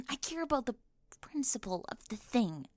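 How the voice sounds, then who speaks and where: High-pitched bossy teenage cheer captain girl, acted by a woman. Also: very animated, moderate pace, dark tone, no room sound. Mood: flustered, indignant. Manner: indignant, interrogating, over-formal wording with flustered stammers. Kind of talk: scripted ASMR roleplay acting.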